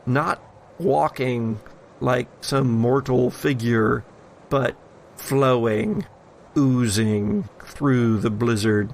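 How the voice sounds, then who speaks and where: A man runs a tabletop horror game as its game master. His voice is mid-pitched with a creaky grain, and his narration moves slowly with dramatic pauses, over slight background noise. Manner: deliberate, dramatic pausing when narrating.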